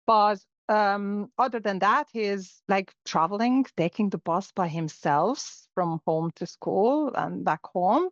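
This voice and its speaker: A middle-aged woman from Hungary, a native Hungarian speaker, reflects in a relaxed interview. Her pitch sits in the middle range, and she often pauses with fillers and restarts her sentences. The sound is dry and a little muffled, like a lo-fi recording. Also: no echo. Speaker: guest. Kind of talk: casual interview conversation. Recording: dull, lo-fi sound.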